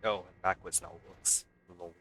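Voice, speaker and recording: A man's voice: low-pitched, level tone, measured pace, pushed and bright. A man giving casual commentary as he streams a video game let's play. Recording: game music behind his voice.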